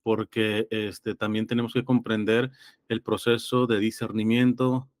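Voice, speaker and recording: A man's voice: on the low side, level tone, measured pace, no room sound, clean studio sound. A man with a slightly congested throat, speaking hesitantly and reflectively as an interview guest in a theological conversation. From Los Angeles, California. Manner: hesitant, reflective, explains through examples and citations.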